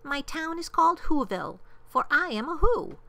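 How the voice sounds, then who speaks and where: A woman's voice: high-pitched, sing-song, normal speed, pushed and bright, no room sound, pitched above the performer's own voice, heavily acted. A woman voicing a tiny, polite mayor of miniature people, with a small piping voice, in a rhyming storybook. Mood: proud, grateful.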